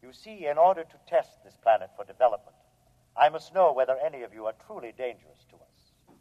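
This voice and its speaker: An alien robot, played by a man, measured and formal, calmly menacing in every line. The voice sits at an ordinary male pitch on a thin, phone-like vintage radio drama recording. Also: lightly acted. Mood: cold, assured. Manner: formal, measured, matter-of-fact. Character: cold, logical, menacing alien robot from another planet. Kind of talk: 1950s radio drama acting.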